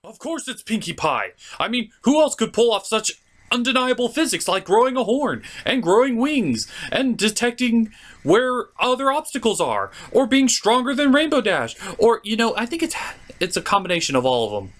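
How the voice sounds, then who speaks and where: A man's voice: extremely high pitch, big pitch swings, moderate pace, fairly bright tone. A man hosting a cartoon review show with theatrical, sardonic comedic commentary.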